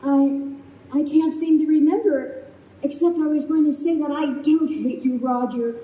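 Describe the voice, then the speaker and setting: A woman's voice: high voice, fairly even intonation, normal speed, mellow, natural voice. A woman playing a sad, bewildered reincarnated soul in an old-time radio play, recorded live in a roomy space. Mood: hesitant, tender. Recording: roomy live stage recording, distant, faint noise.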